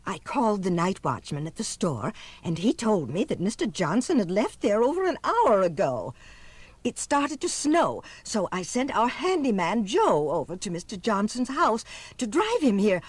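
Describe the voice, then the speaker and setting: Kindly, fretful orphanage matron. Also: female voice, medium pitch, very animated, moderate pace, husky, no room sound. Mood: worried. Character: kindly, anxious woman running an orphanage. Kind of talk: 1970s cartoon audio drama acting.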